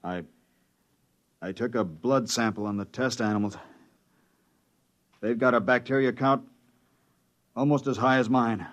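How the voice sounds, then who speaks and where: An actor playing a glum, weary expedition scientist with a halting, understated delivery in a 1950s radio drama. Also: male voice, mid-range voice, steady tone, natural voice. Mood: sick, weary. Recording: dull old radio recording.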